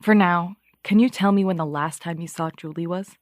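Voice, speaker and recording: A woman's voice: lowish voice, a little fast, dry recording, studio quality, natural voice. A woman playing a self-important true-crime podcast host, earnest and easily irked, with a polished, dramatic delivery. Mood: earnest, probing. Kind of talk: scripted true-crime podcast narration and interviewing.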